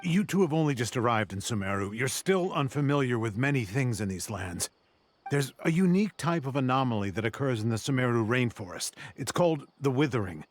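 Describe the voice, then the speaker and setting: A man's voice: medium pitch, animated, a little fast, close to an everyday voice. An actor playing a dutiful male forest ranger in a video game, explaining things earnestly. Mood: earnest, warning. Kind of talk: English video game voice acting.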